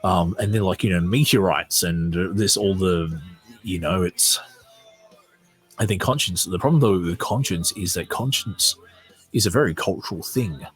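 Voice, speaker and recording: A man with an Australian accent and a husky voice, hosting a podcast, reading aloud and commenting off the cuff in a conversational way. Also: sits low, normal speed, faint music behind. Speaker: podcast host.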